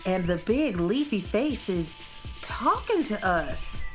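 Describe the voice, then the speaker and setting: Space cowgirl, acted by a woman: excitable, folksy, plain-spoken, swooping delivery over light music, children's audio drama. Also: medium pitch, slow. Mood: astonished. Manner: folksy cowgirl phrasing, emphatic.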